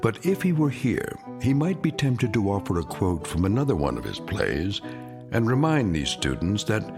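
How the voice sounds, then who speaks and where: Deep-voiced man narrating a radio drama. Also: gravelly. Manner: measured, ominous storytelling.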